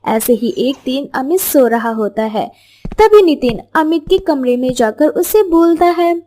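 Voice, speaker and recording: High-pitched woman narrating a story. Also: animated, a little slow, mellow, a little hiss or hum.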